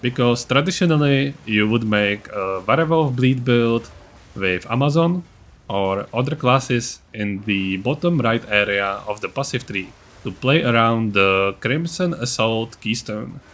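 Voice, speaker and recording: A man's voice: mid-range voice, expressive, unhurried, a bit bright. A man narrating a video game guide as its creator, in an informal, matter-of-fact, explanatory way. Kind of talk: casual explanatory build-guide voice-over.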